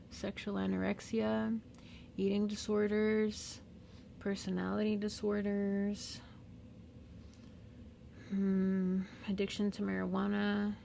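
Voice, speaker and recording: Gravelly-voiced woman, slow musing monologue. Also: medium pitch, mellow, slight background noise. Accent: Southern California. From Mexican-American. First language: Spanish. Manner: slow, reflective, with pauses and fillers. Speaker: podcast host, therapist. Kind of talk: unscripted personal monologue.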